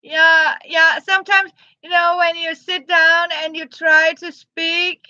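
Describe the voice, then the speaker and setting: A Danish-accented woman with a very high, bright voice chats to her stream viewers in English, her second language. She talks slowly and evenly, stumbling and restarting words as she reads their comments aloud. The audio is dry and dull, like a lo-fi stream. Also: flat delivery, no room sound. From Jutland, Denmark. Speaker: live-stream host. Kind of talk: casual live-stream chat.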